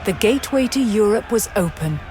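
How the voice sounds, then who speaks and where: A woman reading scripted documentary-style narration in a measured, solemn and dramatic storytelling manner. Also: medium pitch, lively intonation. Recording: music behind the voice.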